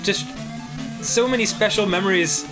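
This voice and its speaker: A man's voice: sits high, normal speed. A man from New Jersey, co-hosting a gaming show and telling casual stories with lots of laughter. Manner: animated, laughs often, acts out quoted voices. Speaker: co-host. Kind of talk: casual storytelling while gaming. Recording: game music behind. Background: video game music.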